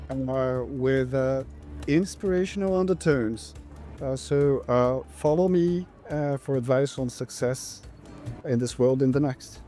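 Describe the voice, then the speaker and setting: A man's voice: mid-range voice, measured pace, warm tone, faint music behind. A man from Brazil with a Brazilian accent, introducing his character as a player, hesitant with many fillers. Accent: Brazilian Portuguese.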